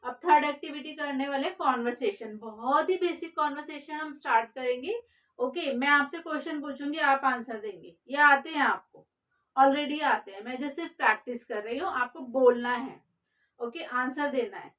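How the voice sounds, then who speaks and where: A woman's voice: high voice, normal speed, fairly bright tone, distant mic. A woman with an Indian accent teaching a spoken English class, spelling words out letter by letter and repeating them for her students. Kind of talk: spoken English lesson in Hindi and English.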